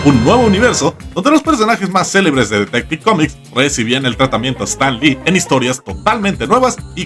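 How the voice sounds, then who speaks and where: Male video essay host, wry and theatrical, very lively intonation, faint music underneath. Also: mid-range voice. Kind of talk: scripted comedic video essay narration. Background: background music.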